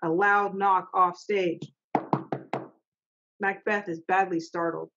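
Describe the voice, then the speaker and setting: A woman's voice: on the low side, steady tone, slow pace, noisy background. A middle-aged woman acting as stage manager, plainly reading out script directions over a video call. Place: home office, indoors. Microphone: computer mic on a video call. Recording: dull video-call audio.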